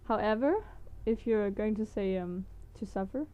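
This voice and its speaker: A woman's voice: mid-range voice, moderate pace, dark tone. A woman from Denmark with a Danish accent, teaching words in a casual, hesitant vlog with many fillers and small laughs. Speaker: language teacher vlogger.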